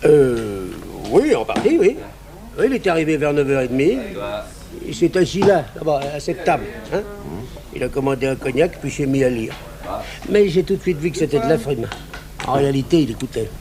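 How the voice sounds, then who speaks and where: A man's voice: fairly high pitch, lively intonation, a little fast, a crowd in the background, natural voice. An actor playing an obliging, slightly evasive male café owner, talking in a colloquial, hesitant ramble in a French radio drama. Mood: chatty, obliging.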